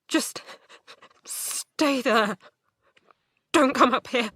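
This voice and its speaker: An overwhelmed mother trying to protect her children in an audio drama. Her voice is very high and bright, coming slowly in strained, stammering bursts. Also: husky, no echo, clean studio sound, full character voice. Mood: shaken, practical. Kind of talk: naturalistic audio drama acting.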